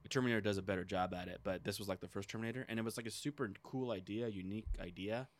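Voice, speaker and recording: A man's voice: on the low side, racing. A man talking informally as a podcast co-host in a casual, conversational chat.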